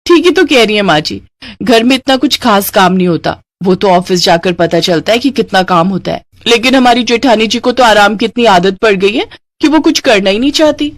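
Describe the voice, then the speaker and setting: A woman's voice: mid-range voice, animated, moderate pace, dry recording, natural voice. Woman voicing a haughty, vain young daughter-in-law. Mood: scornful. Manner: sharp, condescending. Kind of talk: cartoon story voice acting.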